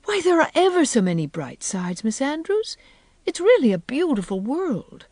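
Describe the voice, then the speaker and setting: A woman's voice: high-pitched, big pitch swings, moderate pace, darkish tone, no echo, clean studio sound, pitched above the performer's own voice. A woman voicing an earnest, hopeful sixteen-year-old girl who pleads ardently, in an audiobook. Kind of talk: audiobook character voice.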